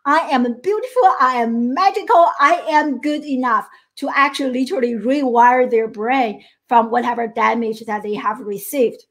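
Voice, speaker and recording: A middle-aged woman from China speaks with a Chinese accent and a high voice. She gives a motivational coaching talk in an earnest way, restarting phrases and checking in with her listeners. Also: moderate pace, hardly any pauses, fairly bright tone. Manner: earnest, many restarts and "right?" tags.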